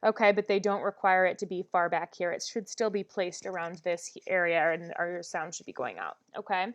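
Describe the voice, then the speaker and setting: A woman voice teacher leading a vocal warm-up lesson, talking with many "um"s and demonstrating exercises. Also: on the low side, a little fast.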